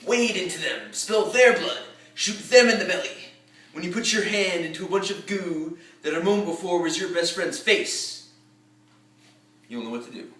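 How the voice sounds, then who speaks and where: A man performing a theatrical war-movie monologue as a tough, commanding American army general, emphatic and deliberate with dramatic pauses. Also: sits high, expressive, a bit bright, pitched above the performer's own voice. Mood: fierce, commanding. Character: tough, profane American army general rallying his troops. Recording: roomy echo, distant microphone.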